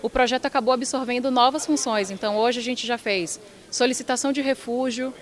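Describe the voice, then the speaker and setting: Woman lecturer explaining clearly. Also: fairly high pitch, steady tone, a little fast. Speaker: university lecturer, course founder. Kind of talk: interview.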